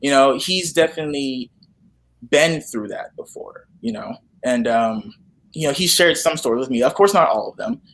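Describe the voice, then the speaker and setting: Young man, medium-pitched, casual. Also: expressive, moderate pace, a bit bright, low-quality recording. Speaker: guest, actor. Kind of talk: casual interview chat.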